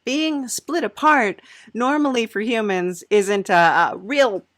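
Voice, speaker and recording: A woman's voice: fairly high pitch, slow, fairly bright tone, no echo, clean studio sound. A woman hosting an improvised comedy interview, reacting in a playful, wry way. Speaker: podcast host.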